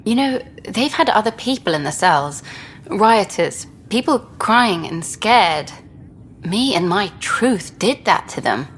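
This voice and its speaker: A woman's voice: mid-range voice, animated, slow pace. A woman playing a wry, principled jailed documentary maker in a video game, speaking in a subdued way. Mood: troubled, subdued. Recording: faint background noise.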